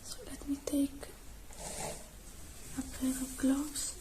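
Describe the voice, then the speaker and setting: A woman doing an ASMR roleplay as a technician, whispering softly and breathily close to the microphone, murmuring gently through each step. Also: high voice, monotone, takes it slowly, low-quality recording. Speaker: ASMR creator playing a robot technician.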